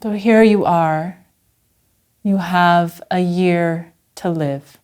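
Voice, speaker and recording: Female meditation teacher. Lowish pitch, very mellow tone, gentle and unhurried guided delivery. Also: clear, resonant tone. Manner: gentle, unhurried, with long pauses. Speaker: Zen Buddhist teacher guiding a meditation.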